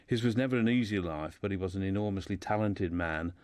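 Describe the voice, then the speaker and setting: A man's voice: low voice, fast, a little mellow, no echo. A man speaking as a guest in a radio discussion, telling a life story informally and often repeating words as he builds his sentences.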